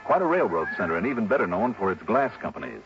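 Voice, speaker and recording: A man's voice: on the low side, very animated, fast talker. An American actor playing a wry, breezy male insurance investigator in old radio drama style. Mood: measured, wry. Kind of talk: 1950s-60s American radio drama acting and narration. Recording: dull old radio recording with music.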